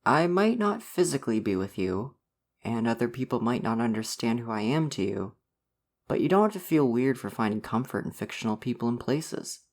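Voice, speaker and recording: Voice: medium pitch, sing-song, normal speed. A performer playing an affectionate visual novel boyfriend in an ASMR roleplay, earnest and reassuring. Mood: reassuring, tender. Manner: soft-hearted, reassuring, earnest. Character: gentle, affectionate dating-sim boyfriend come to life. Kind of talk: ASMR roleplay, personal affirmation.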